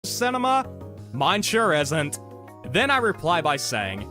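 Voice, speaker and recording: A man ranting sarcastically about his frustrations, his voice hoarse from being sick. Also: on the high side, huge pitch swings, slow, sharp tone, faint music behind. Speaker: content creator. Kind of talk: ranting commentary video.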